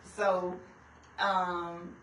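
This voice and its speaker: A woman's voice: lowish voice, little pitch movement, extremely slow, fairly bright tone, echoey room, distant mic, a little hiss or hum, rough recording. Sassy woman co-hosting a radio talk show. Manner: sassy and emphatic.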